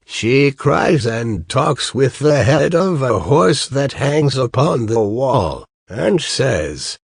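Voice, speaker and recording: A man's voice: mid-range voice, very animated, slow, creaky, breathy edge, no echo, clean studio sound, heavily acted. A man voicing a sulky goose-herd boy in a fairy tale, making an aggrieved complaint.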